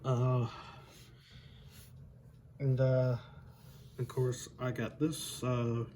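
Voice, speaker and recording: A man aged twenty-one giving a casual, unscripted demonstration as a hobbyist, halting and pausing mid-sentence. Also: medium pitch, flat delivery, mellow. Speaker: hobbyist showing weather radios. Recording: home recording with faint background noise.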